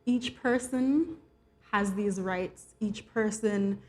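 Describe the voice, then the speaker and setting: A woman with a Jamaican accent appears as a guest attorney on a morning talk show. Her voice sits at a middle pitch. She explains in a polite, explanatory way. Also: takes it slowly, darkish tone. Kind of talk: studio guest interview.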